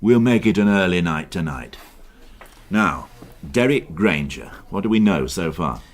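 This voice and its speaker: A man's voice: low voice, animated, measured pace. An actor playing a wry, impatient male police inspector in a radio drama, dry and sardonic with his juniors. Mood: businesslike.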